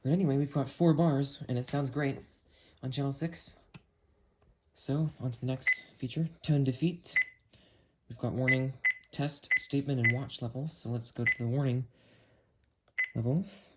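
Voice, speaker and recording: A man's voice: medium pitch, steady tone. Man casually reviewing a gadget, noisy recording. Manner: unhurried, thinking aloud while testing. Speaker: video creator demonstrating a weather radio. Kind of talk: casual hands-on review narration.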